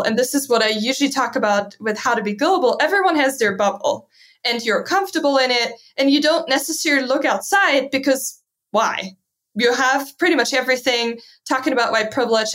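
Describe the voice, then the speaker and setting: A young woman with a German accent talks about herself as a podcast guest. Her voice sits a little high. She is lively, laughs easily and keeps checking in with "right?". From southern Germany. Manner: lively, laughs often, says "right?" a lot. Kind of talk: casual interview chat.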